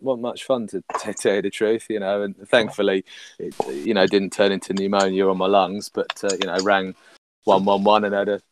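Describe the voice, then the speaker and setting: A man, a podcast guest, chatting casually and rambling with many fillers and restarts, his voice husky from a recent illness. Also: sits low, slight background noise. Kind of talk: casual chat.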